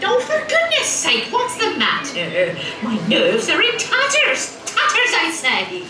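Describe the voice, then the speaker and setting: A woman's voice: very high voice, wildly swooping pitch, slow, exaggerated voice. A woman playing a fussy, dramatic shoemaker's wife in a children's pantomime, with theatrical delivery. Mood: flustered, outraged. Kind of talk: children's pantomime puppet show. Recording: roomy theatre PA, distant, noisy.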